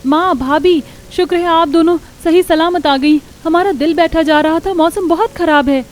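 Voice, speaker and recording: A woman's voice: very high-pitched, normal speed, voice pushed up. A woman voicing a worried young sister-in-law in a cartoon story, in an anxious, emotional way. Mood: anxious relief. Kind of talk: Hindi cartoon story acting.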